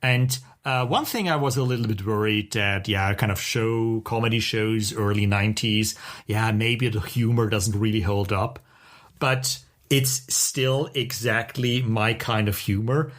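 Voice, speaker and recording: A middle-aged man co-hosting a podcast, chatting casually and enthusiastically with a halting delivery. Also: lowish voice, fairly bright tone. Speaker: podcast co-host. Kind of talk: casual chat about TV shows.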